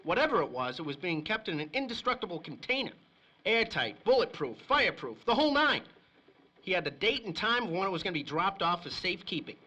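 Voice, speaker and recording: A nervous, shifty little underworld snitch from an old-time radio serial. The voice is high, bright and very animated, full of whiny wise-guy slang. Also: quick pace, highs cut off, heavily acted. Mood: reluctant confiding. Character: nervous, shifty male small-time crook turned snitch. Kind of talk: 1930s old-time radio crime drama acting.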